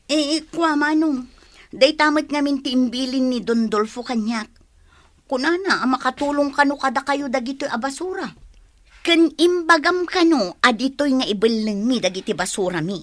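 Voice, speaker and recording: Woman acting a high-pitched, flustered village woman. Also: normal speed, dry recording, close to an everyday voice. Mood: flustered, nervous. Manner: hesitant, stammering, pleading. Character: flustered, hesitant village woman making excuses to a neighbor. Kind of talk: Ilocano comedy radio drama acting.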